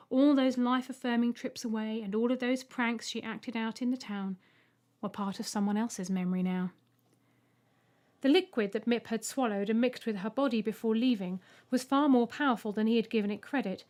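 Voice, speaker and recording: A woman with a fairly high voice narrates an audiobook short story. She reads from a script in storytelling style. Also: darkish tone.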